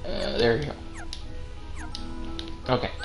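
A man casually chatting as he streams a video game, swearing freely. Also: slow. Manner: casually profane. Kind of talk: casual gaming chat with co-host. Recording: roomy echo, music behind. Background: video game music.

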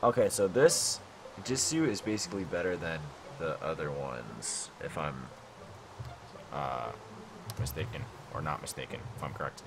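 A man's voice: a bass voice, animated, normal speed, background noise. A young man casually commenting on his own game session at home late at night, rambling and thinking aloud with lots of filler words. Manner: rambling, self-questioning, lots of "like" and "I don't know". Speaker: gaming YouTuber. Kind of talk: casual let's-play commentary. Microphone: desk mic.

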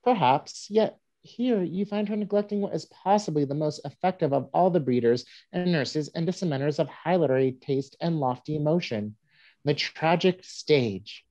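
A woman's voice: sits low, moderate pace, mellow, natural voice. A woman playing a young girl who mimics a pompous newspaperman, reading aloud in a lofty, declamatory way. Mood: lofty, earnest. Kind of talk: staged play reading, oratory. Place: home room, video call. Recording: muffled video-call audio.

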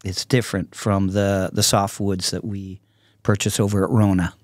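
A man in his sixties speaking casually and reflectively as a podcast guest, in a halting, thoughtful way. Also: low-pitched, flat delivery, a little mellow, no echo, studio quality. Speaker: podcast guest, artist and songwriter. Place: small podcast studio with curtains. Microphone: close podcast microphone on a stand.

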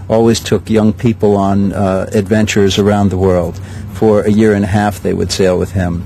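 An older man directing a sailing school, answering interview questions in a measured way with many pauses. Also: on the low side, mellow, a little hiss or hum, rough recording. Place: outdoors at a regatta.

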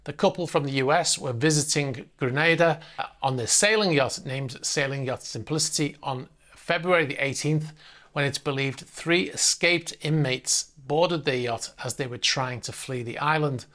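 Man in his forties, news presenter, slightly high voice, brisk reading, uh fillers, lapel mic. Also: fairly bright tone. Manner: brisk, with frequent "uh" fillers and restarts. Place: indoor studio in front of a screen.

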